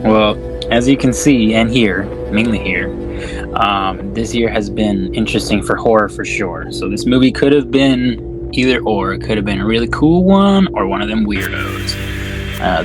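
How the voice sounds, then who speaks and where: A man's voice: on the low side, low-quality recording. A man co-hosting a podcast, chatting casually about films in slangy, profane, laid-back buddy talk. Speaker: podcast host. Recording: music playing behind the voice. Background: music.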